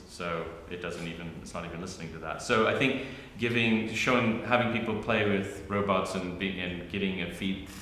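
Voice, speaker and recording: Deep, bright-voiced man in an echoey hall. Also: slight background noise. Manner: thinking aloud with many restarts and fillers. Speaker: panelist. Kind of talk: academic panel discussion. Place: university arts centre hall. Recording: roomy hall with long reverb.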